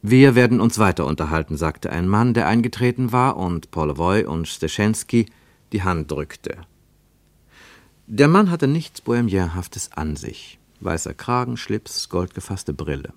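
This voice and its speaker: A man narrating a literary radio drama as a reflective observer, deliberate and given to rhetorical questions. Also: sits low, very animated, no room sound, heavily acted. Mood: measured, observant. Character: male narrator of a literary travel report, probing and reflective.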